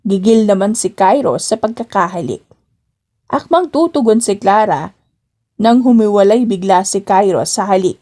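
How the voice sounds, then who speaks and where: A woman narrates a scripted romance story in audiobook style. Her voice sits at a medium pitch as she tells the tale in the third person. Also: expressive, normal speed, warm tone.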